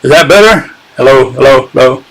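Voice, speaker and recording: A man's voice: medium pitch, a little fast, creaky, fairly bright tone, poor audio. A man hosting a casual live-streamed talk, sarcastic and chatting with his viewers as he goes. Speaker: channel host giving a critique.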